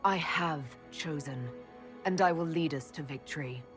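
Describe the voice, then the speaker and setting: A woman's voice: low-pitched, a little slow, soft background music. A woman playing a young elf mage, a reluctant but determined hero in a fantasy video game, guarded and sincere. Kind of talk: dramatic video game dialogue.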